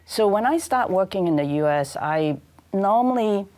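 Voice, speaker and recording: A woman's voice: mid-range voice, animated, normal speed, darkish tone. A woman in her fifties from Hong Kong, with a Hong Kong accent, speaking thoughtfully as a panelist in a studio on a lapel mic. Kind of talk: panel discussion.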